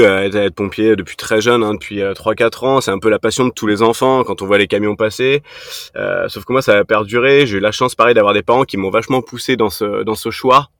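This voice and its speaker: Low-voiced man in his thirties, dry sound. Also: fairly bright tone, no room sound. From Brittany, France. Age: 30s. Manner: hesitant, many fillers and restarts. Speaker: podcast guest, fitness coach. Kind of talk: casual interview answers.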